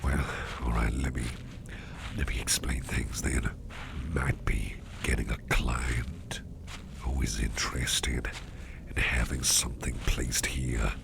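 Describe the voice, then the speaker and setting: A man playing a mischievous businessman boss in an intimate ASMR roleplay, murmuring in a halting, gravelly half-whisper. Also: extremely low pitch, level tone, background noise, exaggerated voice. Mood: confiding, covert. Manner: slow, halting, repeating phrases, softly chuckling. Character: mischievous, toothy businessman boss, affectionate and covert.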